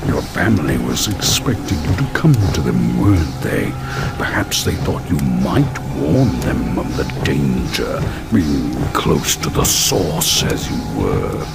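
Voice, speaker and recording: An actor playing a sinister male monster with a gravelly voice, scornful and precise, drawling out cruel accusations. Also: very animated, slow pace, over loud music, full character voice. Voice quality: very deep, gravelly.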